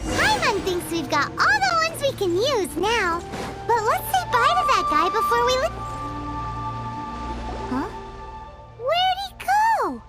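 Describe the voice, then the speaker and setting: Tiny floating game sidekick, squeaky-high, bouncy, unhurried, loud music underneath. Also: female voice, very animated, exaggerated voice. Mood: puzzled. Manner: chirpy, speaks of herself by name. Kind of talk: video game dialogue.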